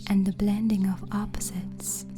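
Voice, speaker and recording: A woman playing a gentle, hospitable witch in an ASMR roleplay, speaking in a breathy, soothing whisper. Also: mid-range voice, flat delivery, close to an everyday voice. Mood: calm, instructive. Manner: slow, deliberate, soothing. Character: gentle, hospitable witch hosting a guest overnight. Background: music.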